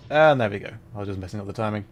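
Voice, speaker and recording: A man's voice: on the low side, rapid-fire. A man giving casual, playful livestream gameplay commentary, self-deprecating and thinking aloud in repeated little phrases. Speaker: livestreamer playing a puzzle game.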